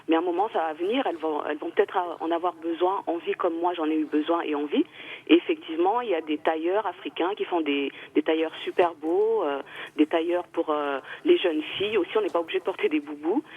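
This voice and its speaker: Husky woman caller on a phone line. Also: low voice. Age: 30s. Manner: earnest, hesitant with many pauses. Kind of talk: radio call-in comment. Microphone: telephone.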